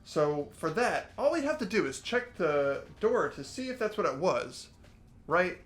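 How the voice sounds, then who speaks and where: A man's voice: high voice, sing-song, measured pace, faint music behind. A man voicing an earnest, curious and unsure teenage schoolboy in a fan-voiced mystery game, questioning and thinking aloud. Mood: puzzled, curious. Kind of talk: fan voice-over of a mystery visual novel.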